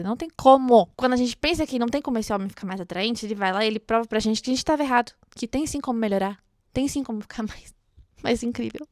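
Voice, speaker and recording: A Brazilian woman with a fairly high voice talks through a book in a casual, chatty way. She is expressive and gushing as she comments for her audience of fellow readers. The recording is close and dry. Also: dry recording. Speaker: host of a book read-along show. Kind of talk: casual book commentary with excerpts read aloud.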